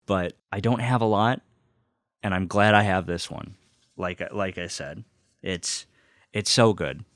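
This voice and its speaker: Deep-voiced man gushing earnestly. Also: measured pace, a bit bright, dry recording. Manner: earnest, gushing, swears casually. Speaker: host. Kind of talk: casual monologue, enthusiastic vinyl review.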